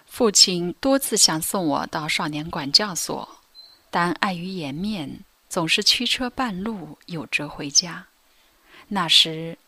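A woman's voice: fairly low pitch, bright, forceful tone, slightly rough, dry recording, heavily acted. A young woman narrating a radio drama as a former teen delinquent, in a subdued, reflective way that sometimes drops to a whisper. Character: former teen delinquent girl recalling her past.

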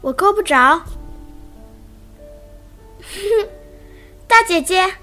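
A woman's voice: very high-pitched, expressive, extremely slow, bright, forceful tone, soft background music, exaggerated voice. A sweet, eager little girl. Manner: eager, polite.

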